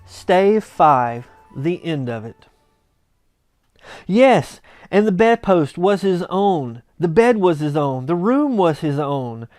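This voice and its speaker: A man reading a classic story aloud as narrator, with expressive, theatrical and emphatic delivery. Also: a little high, slow, no echo, studio quality, soft background music. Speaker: narrator, audiobook reader.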